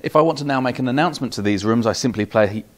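A man's voice: mid-range voice, quick pace, heavy background noise. A man in his forties with a British accent giving a methodical, step-by-step product demonstration. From United Kingdom. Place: small office demo room, indoors.

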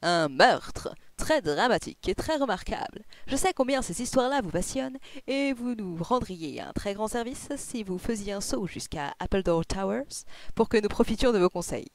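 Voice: mid-range voice, expressive, normal speed, no room sound, lightly acted. A reader voicing a male police inspector from an audiobook detective story, formal, earnest and emphatic. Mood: earnest, eager. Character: solemn, eager police inspector, a man, chatty and official.

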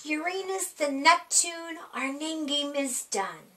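High, lilting woman reading a children's story. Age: middle-aged. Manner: animated, sing-song, deliberate delivery for young children. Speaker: library storytime reader. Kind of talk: expressive read-aloud of a rhyming children's picture book. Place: small indoor room.

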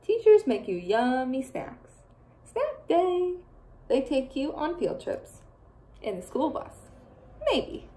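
A young woman teacher reading a picture book aloud to children, expressive and full of playful asides. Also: high voice, slow, a little hiss or hum. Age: young adult.

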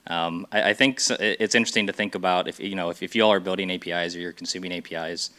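Bright-voiced low man on a panel. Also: steady tone, rapid-fire. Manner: conversational, explains with examples, frequent fillers. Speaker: panelist, software engineer. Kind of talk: conference panel talk. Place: large conference hall with audience. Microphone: handheld mic.

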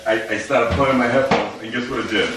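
A middle-aged man, a visiting father, telling a story about himself in a lively, self-mocking way. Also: mid-range voice, quick pace. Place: apartment dining room. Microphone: far camera. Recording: echoey room from far off, noisy.

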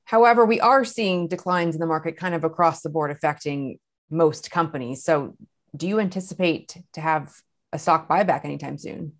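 A woman's voice: sits low, normal speed. A young woman asking prepared questions as a business news interviewer. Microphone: lapel mic.

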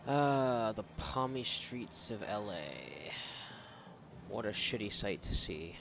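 A man with a low voice and plenty of fry hosts a casual let's play. He rambles sarcastically and curses freely over faint game ambience. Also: sing-song, takes it slowly, background noise. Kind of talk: casual gameplay commentary. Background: video game ambience.

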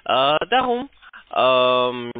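A man with a foreign accent, not a native German speaker, haltingly reading out a phone sales pitch in broken German and stumbling over his words. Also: very animated, smooth, no echo. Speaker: telephone sales agent. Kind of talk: scripted cold-call sales pitch, read out haltingly. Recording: narrow, tinny phone line.

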